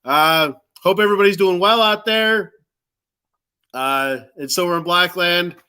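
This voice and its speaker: Bright, congested man hosting a fan stream. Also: high-pitched, a little slow, clean tone. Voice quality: congested, stuffed-up nose. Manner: informal, rambling, folksy. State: stuffed up with a cold. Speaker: host of a sports fan show. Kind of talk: casual livestream chat, greeting commenters.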